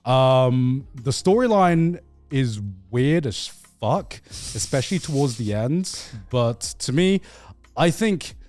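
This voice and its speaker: A man with a mid-range voice talks casually as a podcast host about games. He tells it in an animated, sweary way, repeating words as he goes. The sound is dry, with faint music behind him. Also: mid-range voice, no room sound. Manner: animated storytelling, profane, halting with repeats. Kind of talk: casual video game chat.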